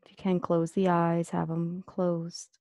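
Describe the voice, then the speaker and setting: A woman with a soft-edged, mellow voice and noticeable fry leads a guided meditation. She gives gentle instructions, leaving long pauses between phrases. The sound is close and dry, with no room around it. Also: on the low side, completely flat delivery, no room sound.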